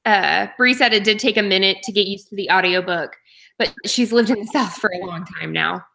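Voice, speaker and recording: A woman's voice: mid-range voice, a little fast, vocal fry, pushed and bright, slight background noise. A woman from Oklahoma giving frank, matter-of-fact opinions in a casual book club chat.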